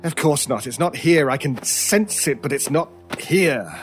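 A man's voice: a little high, expressive, measured pace. An imperious male stranger in an old time radio drama, driven and obsessive. He is brusque and commanding, with very varied intonation over a music bed. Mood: brooding, intense. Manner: commanding, brusque, muttering to himself when brooding.

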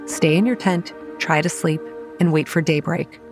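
A young woman playing a wry campground manager, telling a horror story in a measured, scripted first-person style. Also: low-pitched, steady tone, close to an everyday voice. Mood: stern. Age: young adult. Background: music.

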